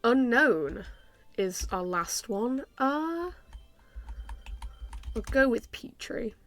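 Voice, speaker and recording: A young woman with a stuffy nose from a cold, casually commenting on her game and thinking aloud with many ums and soft laughs. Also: a little high, sing-song, drawn-out words. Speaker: gaming YouTuber.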